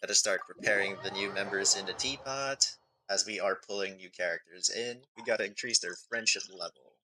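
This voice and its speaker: A man's voice: on the low side, brisk, sharp tone, rough recording. A man streaming a game, talking casually as he thinks aloud, reads out on-screen text and asks himself questions. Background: music.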